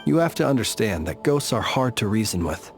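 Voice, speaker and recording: An actor playing a courteous, quietly solemn gentleman lightkeeper in a video game, speaking in a measured, formal manner. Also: fairly low pitch, sing-song, soft background music. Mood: calm, reflective. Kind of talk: scripted game dialogue.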